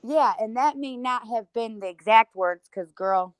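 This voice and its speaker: Woman, high-ish voice, emphatic casual livestream chatter, close dry sound. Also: measured pace, clear, resonant tone, no room sound. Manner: emphatic, conversational, peppered with "you know" and "girl".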